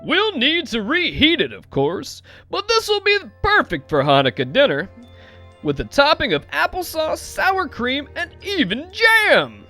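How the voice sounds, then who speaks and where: A man's voice: very high voice, wildly swooping pitch, a little slow, sharp tone, soft background music, voice pushed up, exaggerated voice. A man voicing a cheerful, eager family father in a storybook reading. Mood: delighted.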